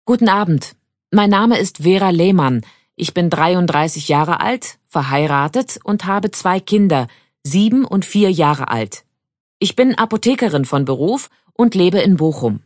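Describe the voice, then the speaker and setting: A woman's voice: on the low side, animated, unhurried, darkish tone, dry recording, clean studio sound. A woman playing a pharmacist in her thirties. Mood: earnest. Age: 30s. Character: female pharmacist in her thirties, opinionated guest. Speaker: studio guest. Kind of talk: scripted exam dialogue.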